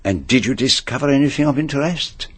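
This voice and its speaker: Shrewd foreign detective, French accent, lively intonation, dry close sound, probing, radio drama. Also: male voice, mid-range voice, slightly rough, no room sound. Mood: intrigued. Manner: deliberate, probing, theatrical. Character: inquisitive, courteous foreign detective, shrewd older man.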